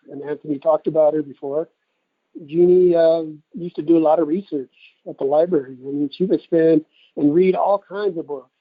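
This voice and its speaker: Middle-aged American male, slightly high voice, folksy rambling product pitch, thin phone-call sound. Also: no room sound. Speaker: product promoter giving a testimonial on a conference call. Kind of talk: informal testimonial sales talk. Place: telephone conference call. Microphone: telephone. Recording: tinny band-limited phone line.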